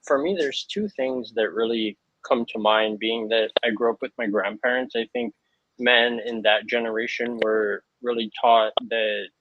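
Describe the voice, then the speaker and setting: A man's voice: mid-range voice, steady tone, measured pace, no echo. Man from Hawaii, thoughtful panel guest, lo-fi dry call audio. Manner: earnest, thoughtful, with frequent fillers. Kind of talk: reflective panel talk. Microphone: video call. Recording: dull lo-fi, dry sound.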